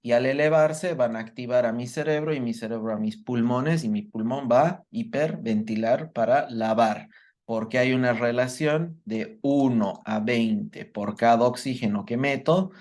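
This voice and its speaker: Man, medical lecturer, patient step-by-step explanations, mid-pitched voice. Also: moderate pace, a little mellow. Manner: explains step by step, checks understanding with students. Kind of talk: live online workshop lecture.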